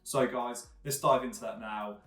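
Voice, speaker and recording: Host with a lowish voice in an echoey room, mic at a distance, faint music underneath, stacking repeated phrases. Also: male voice, steady tone, moderate pace, a bit bright. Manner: repetitive, listing phrases. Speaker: online business host. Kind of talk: video intro to camera.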